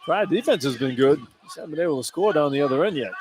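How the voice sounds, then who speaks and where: A man with a fairly high-pitched voice works as color analyst on a live basketball radio broadcast. He explains plays informally, in short and emphatic remarks. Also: animated, fast, background noise. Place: courtside in an indoor arena.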